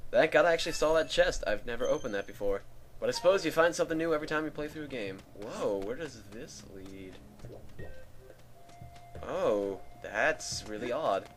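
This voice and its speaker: Lets play guy rambling, raspy dry throat, bit of game music behind. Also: mid-range voice, expressive, normal speed. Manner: rambling, chatty. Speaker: let's play host. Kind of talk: casual gameplay commentary. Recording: home mic with static.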